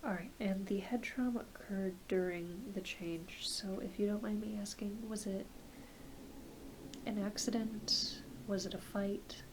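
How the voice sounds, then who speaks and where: A soft-spoken woman, often dropping into a whisper, gently playing a reassuring doctor in an ASMR roleplay. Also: medium pitch, steady tone, measured pace. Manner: gentle, reassuring, hesitant questioning. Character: kindly, attentive doctor examining a patient. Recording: hissy close home recording.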